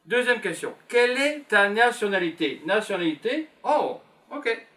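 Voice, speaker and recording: A man's voice: sits high, expressive, bright, forceful tone. A man in his fifties from Belgium, teaching beginners and patiently repeating simple phrases as he writes them down. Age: 50s. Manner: slow, patient, repeats phrases while writing. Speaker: French teacher. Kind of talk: classroom lesson for beginners.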